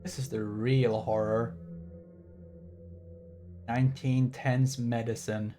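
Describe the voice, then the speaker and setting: A man's voice: mid-range voice, flat delivery, slow, dark tone, faint music behind. A man streaming a game with casual, rambling commentary in an irreverent, joking and sweary manner.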